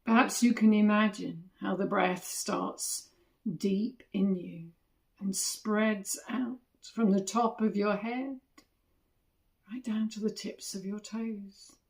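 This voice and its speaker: Slow, gravelly woman guiding meditation. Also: medium pitch, fairly even intonation. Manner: soothing, gentle, with long pauses between short phrases. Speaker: meditation teacher. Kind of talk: guided body scan meditation.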